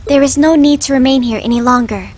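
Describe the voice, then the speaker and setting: A woman's voice: high-pitched, fast, darkish tone. A woman playing an emotionless, obedient young girl agent in a video game, speaking in clipped, formal, literal phrases. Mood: flat, businesslike.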